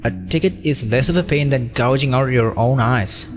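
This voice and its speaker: A man's voice: medium pitch, normal speed, faint music behind. A man reading a scripted first-person horror story as narrator, with a brooding, dramatic delivery.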